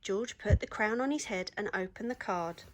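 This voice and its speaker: A woman reading a children's story aloud in an expressive storytelling manner. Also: mid-range voice, moderate pace.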